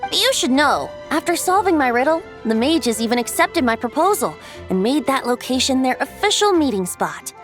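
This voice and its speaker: High, playful boyish bard. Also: female voice, very animated, moderate pace, faint music behind. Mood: amused, helpful. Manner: breezy, teasing, theatrical, lilting. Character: playful boyish wandering bard, secretly an ancient wind god. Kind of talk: video game voice acting.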